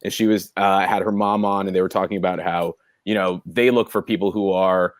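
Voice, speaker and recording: A man with a fairly low voice hosts a casual interview, recorded dry with little room sound. He puts his questions earnestly and at some length. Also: fairly even intonation, a little fast, no echo. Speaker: podcast host, interviewer.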